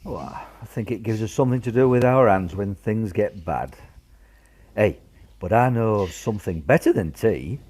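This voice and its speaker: Cheeky wartime British grandad, played by a man: lowish, husky, jovial and coaxing, with lively intonation. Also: moderate pace. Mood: reassuring. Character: genial, cheeky older grandfather in wartime Britain. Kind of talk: radio play acting.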